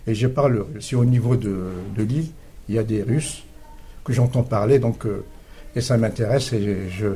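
Husky, mellow-voiced man. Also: on the low side, normal speed. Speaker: school technical staff member. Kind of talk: casual interview answers. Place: recorded at a secondary school.

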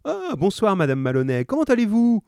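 A man's voice: high voice, huge pitch swings, a little fast, gravelly, darkish tone, dry recording, studio quality, voice pushed up, full character voice. A man voicing a friendly, helpful male grocer in a read-aloud story. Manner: obliging and chatty.

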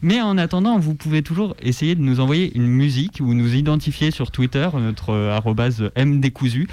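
A man's voice: medium pitch, a little fast, mellow. A man with a French accent giving casual commentary as co-host of a radio show. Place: radio studio.

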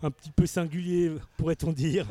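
A man hosts a relaxed radio chat, his voice sitting a little high for a man. He talks easily and laughs often, restarting his sentences as he goes. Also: normal speed, no echo. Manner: chatty, laughs easily, stumbles over words. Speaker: radio host. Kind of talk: casual radio interview.

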